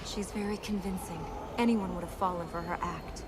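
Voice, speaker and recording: An actress voicing a brave, earnest young princess in a video game, serious and matter-of-fact. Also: female voice, fairly low pitch, steady tone, moderate pace, natural voice. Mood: earnest, practical. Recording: game audio with noisy ambience behind.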